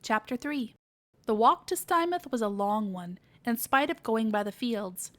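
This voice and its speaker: A woman's voice: on the high side, animated, unhurried. A woman narrating a children's storybook as a read-aloud podcast reader.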